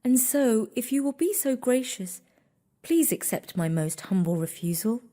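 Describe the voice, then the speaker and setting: A woman playing a kind-hearted, humble young woman in a storybook, with a polite, gentle, earnest manner. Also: fairly high pitch, animated, a little slow. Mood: humble, touched.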